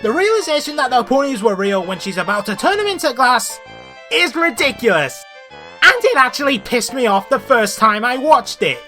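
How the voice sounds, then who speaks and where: A woman's voice: on the high side, sing-song, normal speed, sharp tone, soft background music. A woman hosting a scripted comedic review, blunt and sweary, with an exasperated, ranting manner. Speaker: host of a cartoon review show.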